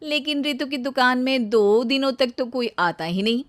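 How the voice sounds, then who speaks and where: A woman narrates a cartoon tale. Her voice is fairly high. The delivery is scripted storytelling, addressed to listeners of a bedtime story. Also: normal speed, a bit bright.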